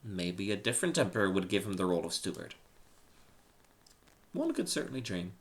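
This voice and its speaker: A man's voice: deep voice, very animated, brisk, fairly bright tone. A man reading a humorous short story aloud in a dramatic scripted narration. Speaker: story reader.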